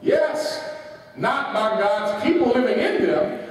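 A man's voice: high-pitched, very animated, slow. A man preaching a sermon, reading quotations with their page numbers and asking his congregation rhetorical questions that they answer. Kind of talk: sermon with call and response. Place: large church hall. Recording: echoey hall from far off.

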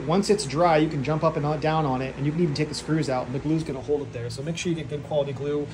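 Offhand man in a noisy workshop. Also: fairly high pitch. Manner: offhand and self-deprecating, with fillers. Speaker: channel host. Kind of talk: casual workshop walkthrough. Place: home workshop, indoors.

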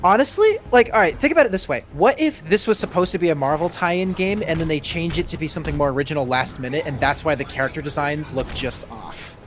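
A man's voice: a little high, quick pace, few pauses. A man chatting casually over gameplay as a streamer, thinking aloud and giving his opinions. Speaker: game streamer. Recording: steady background game noise. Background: video game sounds.

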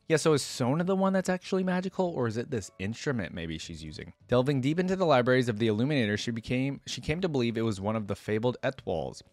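A man's voice: mid-range voice, no echo. A young man giving casual reaction commentary and reading lore aloud, close on a desk microphone. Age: young adult. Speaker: reaction video creator. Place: indoor room at a desk.

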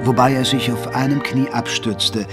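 Man as measured mystery narrator over music. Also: medium pitch, steady tone, close to an everyday voice. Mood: measured, atmospheric. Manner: measured, descriptive. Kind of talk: scripted narration.

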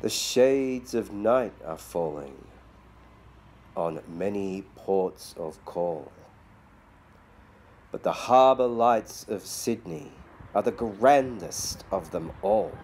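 A man in his forties reciting poetry in a measured, deliberate way, recorded on a phone outdoors. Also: on the low side, darkish tone. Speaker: vlogger reading poetry. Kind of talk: slow poetry reading. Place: outdoors around a city harbour. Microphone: phone at arm's length. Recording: outdoor phone video, clear ambient street noise.

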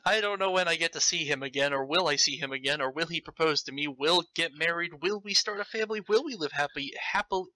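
A man's voice: fairly high pitch, fast, hardly any pauses, sharp tone, no echo. A man voicing a clingy young woman in love, pleading melodramatically in a story reading. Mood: anxious, lovelorn.

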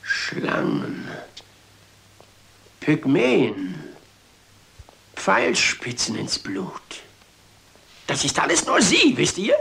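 A blustering male navy captain in a detective drama. His high voice leaps up and down, pompous and exasperated, forever indignant. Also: huge pitch swings, very slow, creaky, pauses often, sharp tone, full character voice. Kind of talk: German detective film dialogue.

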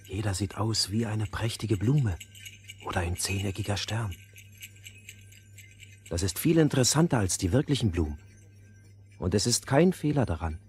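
An actor playing a headstrong young boy in a fairy-tale audio play, with an expressive, youthful manner. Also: on the low side, moderate pace, long pauses, faint music behind, close to an everyday voice. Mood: scornful. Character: young boy, headstrong, scornful, easily enchanted.

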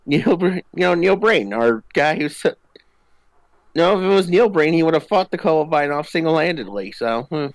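Jokey, animated man with a higher voice. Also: normal speed, no room sound, rough recording. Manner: jokey, often chuckling as he talks. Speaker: co-op gaming video player. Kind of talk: casual joking gaming banter.

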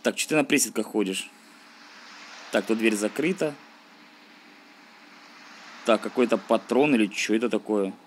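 A man's voice: lowish voice, normal speed, pauses often, slight background noise. A man giving casual, slangy let's-play commentary, thinking aloud and grumbling as he plays. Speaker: gaming video host.

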